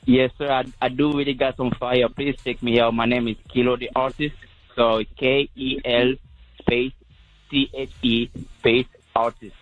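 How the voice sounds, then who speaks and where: A man's voice: medium pitch, flat delivery, moderate pace, dry recording, highs cut off. A man from Congo, calling in to a radio show as an eager aspiring singer. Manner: eager, self-promoting. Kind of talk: phone-in, singing.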